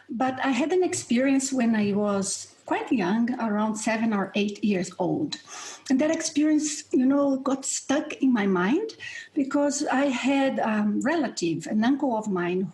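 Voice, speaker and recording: A woman's voice: on the high side, darkish tone. A middle-aged woman from Brazil with a Brazilian accent, a non-native English speaker answering interview questions in an earnest, reflective way with light laughs. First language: Portuguese. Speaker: guest researcher and instructor.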